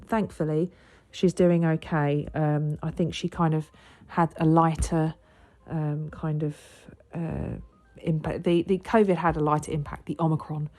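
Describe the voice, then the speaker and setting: Croaky, stuffy-nosed woman hosting a podcast. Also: sits low, flat delivery, measured pace, mellow, soft background music. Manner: rambling, many ums and self-corrections. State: croaky with a sore throat and blocked nose. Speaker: podcast host and astrologer. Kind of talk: casual solo podcast chat.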